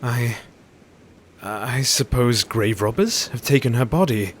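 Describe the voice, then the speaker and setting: An actor playing a dry, skeptical former student in a period audio drama. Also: male voice, mid-range voice, slow pace, slight background noise, natural voice. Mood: uncertain.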